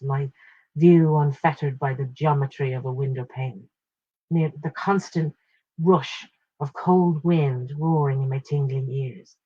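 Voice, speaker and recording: A woman reading a literary first-person short story aloud in a measured, expressive way with pauses. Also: rumbling low, breathy edge, highs cut off.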